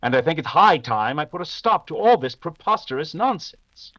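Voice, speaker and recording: An actor playing a confident, rational gentleman detective in an old-time radio drama. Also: fairly high pitch, big pitch swings, normal speed, dry recording, muffled recording. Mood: resolute.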